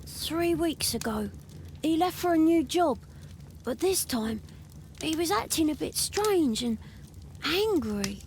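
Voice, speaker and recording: A woman's voice: high voice, lively intonation, slow, pauses often, slight background noise, heavily acted. A woman voicing an anxious, proud, poor young boy with a London accent, hesitant and respectful, in a video game. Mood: worried, hesitant.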